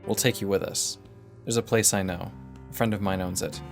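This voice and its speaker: A man playing a calm, decisive companion who speaks in a measured, matter-of-fact way. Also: fairly low pitch, faint music behind, close to an everyday voice. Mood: calm, reassuring. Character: calm, decisive male companion offering practical help.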